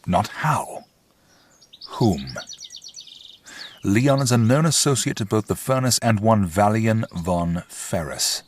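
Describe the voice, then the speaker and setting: An actor playing a dutiful household servant in an audio drama, speaking in a formal, measured, drily roundabout way. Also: male voice, on the low side, animated. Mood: measured, discreet. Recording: faint background noise with birdsong.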